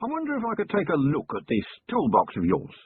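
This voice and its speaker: Man playing a tired sarcastic police boss, clipped and raspy, lo-fi muffled audio. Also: on the high side, wildly swooping pitch, a little fast, voice pushed up, exaggerated voice. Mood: dry. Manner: terse, sardonic. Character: weary, dry-witted male police commander. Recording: muffled lo-fi narrow-band recording.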